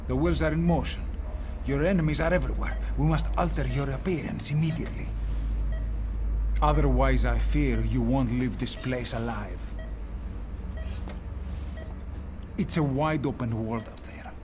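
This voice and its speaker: An actor playing a grave, soothing hospital doctor in a video game drama, speaking gently and deliberately in halting phrases. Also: male voice, medium pitch, lightly acted. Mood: grave warning. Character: grave, soothing male hospital doctor breaking bad news. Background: loud cinematic music.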